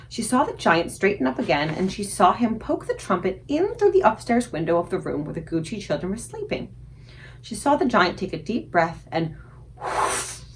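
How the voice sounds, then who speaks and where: A woman reading a children's story aloud expressively, with dramatic, suspenseful emphasis and deliberate pauses. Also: medium pitch, a little hiss or hum. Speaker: librarian reading aloud for a book club.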